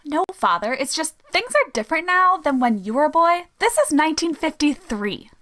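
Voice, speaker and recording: An actress playing a skeptical, modern-minded teenage daughter in a 1950s family radio comedy. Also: female voice, high voice, lively intonation, normal speed, bright, forceful tone. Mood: earnest. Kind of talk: old-time radio comedy acting.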